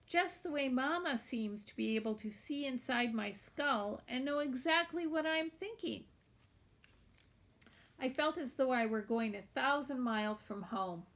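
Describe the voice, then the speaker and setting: A woman's voice: high voice, fairly bright tone. A woman reads a children's novel aloud, narrating expressively in the first person as a young girl.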